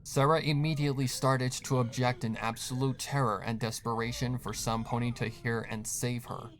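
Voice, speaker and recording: A man's voice: medium pitch, flat delivery, moderate pace, fairly bright tone. A man reading a scripted horror story as a dramatic narrator. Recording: faint background noise.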